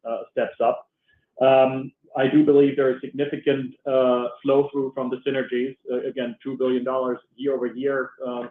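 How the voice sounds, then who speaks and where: Halting man on an echoey phone line. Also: medium pitch. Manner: hesitant, halting delivery with repeated words. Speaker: finance executive on an earnings call. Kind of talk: unscripted financial briefing. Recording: echoey, noisy narrowband conference call.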